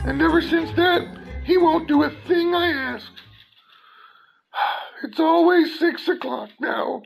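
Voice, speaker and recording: A woman's voice: extremely high pitch, slow, gravelly, soft background music, heavily acted, poor audio. A woman playing an eccentric, touchy tea-party host in a radio play, theatrical and argumentative. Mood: indignant. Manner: theatrical, argumentative, singing off in bursts.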